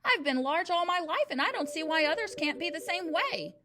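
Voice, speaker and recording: A woman's voice: extremely high pitch, sing-song, a little fast, crowd noise, pitched above the performer's own voice. A woman voicing a huge, furious, bullying headmistress who barks and snaps at children. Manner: loud, barking, snapping.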